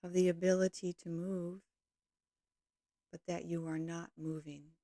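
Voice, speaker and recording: A woman leading a guided yoga nidra meditation, speaking calmly and gently in slow, measured short phrases. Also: fairly low pitch, dry recording, studio quality.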